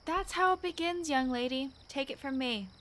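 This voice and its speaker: A woman's voice: sits high, normal speed, fairly bright tone. An actress playing a nosy, opinionated married woman in a radio play, speaking emphatically with swooping exclamations. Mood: knowing, effusive.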